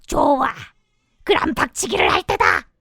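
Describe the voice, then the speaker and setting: Woman putting on a creaky, menacing storybook wolf. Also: high-pitched, huge pitch swings, fairly bright tone, a little hiss or hum, exaggerated voice. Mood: scornful, threatening. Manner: threatening, drawn-out, theatrical. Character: menacing, greedy, boastful big bad wolf. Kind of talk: children's storybook character voice.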